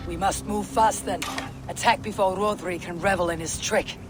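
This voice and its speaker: A woman's voice: mid-range voice, fairly even intonation, moderate pace, over music, close to an everyday voice. A woman playing a grim, steely Viking warrior who can be tender, in a video game. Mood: grim, resolute.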